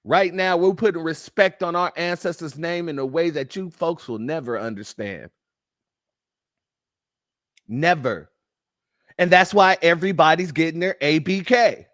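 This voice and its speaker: A man's voice: on the high side, unhurried, pauses often, a bit bright, dry recording, studio quality. A man hosting a livestream, delivering fiery, emphatic and profane preaching-style commentary and thanking donors by name. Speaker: livestream host.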